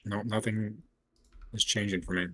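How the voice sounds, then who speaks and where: A man's voice: lowish voice, steady tone, normal speed, sharp tone, no room sound. A man chatting casually at the table as a player in a role-playing game.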